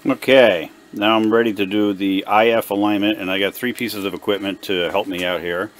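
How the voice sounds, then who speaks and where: A man giving an unscripted, hands-on repair demonstration, halting and hesitant as he thinks aloud. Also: lowish voice, steady tone. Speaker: hobbyist radio repairer presenting his own video. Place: indoor workbench.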